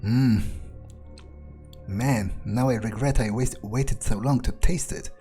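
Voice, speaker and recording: Man, medium pitch, in-character game commentary, informal, sweary, faint music behind. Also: slow, mellow. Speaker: gamer playing in character. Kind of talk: in-character video game playthrough, thinking aloud.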